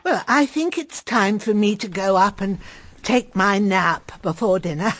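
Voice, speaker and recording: A woman's voice: medium pitch, a little slow, fairly bright tone. An actress playing a frail, genteel elderly grandmother in a period radio drama. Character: frail, genteel old grandmother, stiff and fussing.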